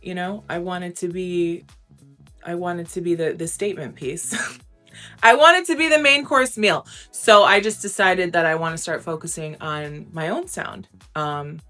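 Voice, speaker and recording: A young woman with a low, grounded voice talks openly and casually, filling pauses with "um" and "like". Faint music plays quietly under her words. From United States. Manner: candid, chatty, frequent "um" and "like". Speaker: interview guest, recording artist. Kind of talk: casual interview answers.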